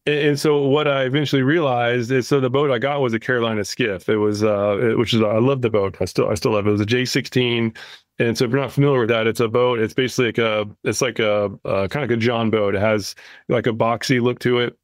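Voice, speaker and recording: Guy on a podcast, medium pitch, chatty, kinda halting, really dry sound. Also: steady tone, dry recording. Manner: halting, repeats words as he explains. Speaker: podcast co-host. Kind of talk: casual, anecdotal podcast talk.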